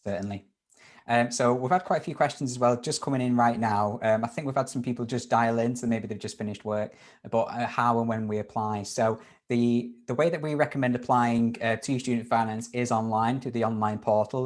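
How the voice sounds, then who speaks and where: A man's voice: mid-range voice, steady tone, a little fast. Guy running a uni webinar q&a, chatty, lots of ums, video call mic. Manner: upbeat, informal, lots of fillers and restarts. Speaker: university webinar host. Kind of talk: live webinar Q&A.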